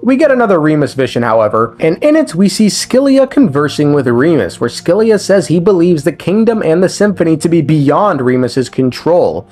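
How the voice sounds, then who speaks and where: Man, slightly high voice, very animated intonation, casual slangy storytelling, profane, game lore commentary. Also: normal speed, few pauses. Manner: informal, conversational, peppered with slang and swearing. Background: game music.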